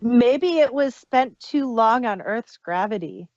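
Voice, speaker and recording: A woman's voice: on the high side, lively intonation, slow, a little mellow, no room sound, lo-fi sound. A woman from the Chicago area with a Midwestern American accent, co-hosting a podcast in casual chat with dry, punning humor. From Chicago area, United States. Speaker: podcast co-host.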